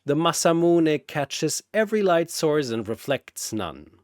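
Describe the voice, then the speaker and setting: A male narrator delivers scripted story narration with theatrical drama. His pitch swings widely, the pace is very deliberate, and the sound is dry and close. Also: big pitch swings, dry recording.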